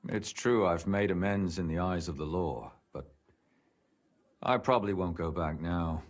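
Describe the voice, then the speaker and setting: Weary, earnest medieval lawman for a game; very low, husky, measured delivery. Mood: remorseful, earnest. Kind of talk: video game voice acting, dramatic dialogue.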